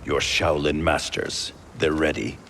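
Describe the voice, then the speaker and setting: A man playing a stern, distrustful warrior leader in a video game, speaking in a clipped, commanding way with a gravelly voice. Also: extremely low pitch, flat delivery, measured pace, a bit bright, a little hiss or hum, full character voice. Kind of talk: video game cutscene acting.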